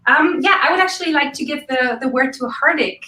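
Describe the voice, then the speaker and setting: A woman's voice: sits high, pushed and bright. A woman moderating a webinar, chatty with fillers as she hands over to speakers. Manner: chatty, fillers, quick handovers. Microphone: laptop mic on a video call, far from it. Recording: echoey room, distant from the mic.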